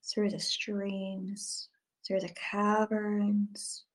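Female meditation guide, very slow and level in delivery, soothing, hushed at phrase ends. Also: medium pitch, monotone.

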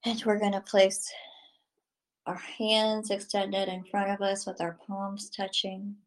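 Slow, even-toned woman guiding meditation. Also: medium pitch, poor audio. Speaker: guided meditation leader. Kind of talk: guided meditation, slow spoken instructions.

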